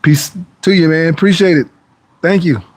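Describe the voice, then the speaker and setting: A man's voice: fairly high pitch, moderate pace, no room sound. A man in his forties hosting a fitness livestream, casually chatting through viewer questions in a laid-back, rambling way. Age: 40s. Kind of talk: casual live-stream chat answering viewer comments.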